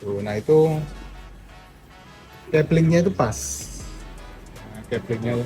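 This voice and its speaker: An older man talks through a product review in a loose, chatty way, stopping often with fillers and restarts. His voice is deep and mellow, and he speaks slowly. Faint music plays behind him. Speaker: motorcycle gear reviewer.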